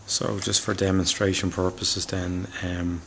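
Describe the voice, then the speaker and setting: A deep-voiced man talks through a home DIY job in an even, low-key tone. He pauses and thinks aloud as he explains, in a casual hobbyist style, with ambient noise on the recording. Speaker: hobbyist presenter. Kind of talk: casual DIY demonstration talk.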